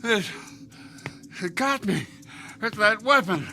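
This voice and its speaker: An actor playing an injured, gruff husband in a vintage television thriller, folksy, grumbling and dramatic. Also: male voice, sits high, sing-song, slow pace, sharp tone, heavily acted. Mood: pained, shaken. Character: injured, gruff husband battling little monsters.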